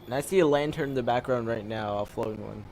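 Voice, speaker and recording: A woman's voice: a bass voice, fast talker, noisy background. A woman giving casual, offhand gameplay commentary as a streamer, talking back to the game characters. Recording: loud game music behind the voice.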